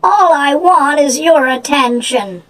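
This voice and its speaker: A man's voice: very high-pitched, lively intonation, slow pace, a bit bright, voice pushed up, exaggerated voice, low-quality recording. A man voicing a teasing, attention-seeking female ogress in a storybook reading. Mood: pleading.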